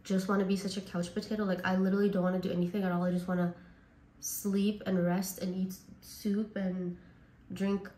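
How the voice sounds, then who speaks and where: A woman from Canada, hoarse and raspy from a cold, chatting casually as a beauty vlogger through a makeup tutorial. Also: mid-range voice, level tone, brisk, mellow, echoey room, low-quality recording. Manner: chatty, says like and honestly a lot.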